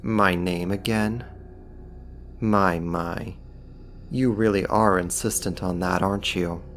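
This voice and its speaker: Man voicing a deep, slow, mocking demon. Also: fairly even intonation, a bit bright, slight background noise. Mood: condescending, taunting. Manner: sarcastic, teasing, drawn-out mock politeness. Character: powerful male demon, sly, mocking, condescending. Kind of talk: ASMR roleplay, slow scripted monologue to the listener.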